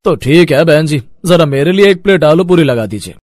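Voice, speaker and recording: Male civic inspector, officious and formal, higher-pitched, cartoon story male performer. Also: expressive, fairly quick, a little mellow, no echo, clean studio sound, close to an everyday voice. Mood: official, polite. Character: brisk, officious male municipal food inspector.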